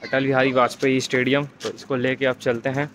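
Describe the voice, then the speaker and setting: A man's voice: medium pitch, little pitch movement, fairly quick, a bit bright. Chatty teen boy vlogging on a phone. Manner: chatty, addressing viewers as guys. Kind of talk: casual vlog narration. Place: outdoors, streets and a stadium. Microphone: phone camera at arm's length. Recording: noisy outdoor phone recording.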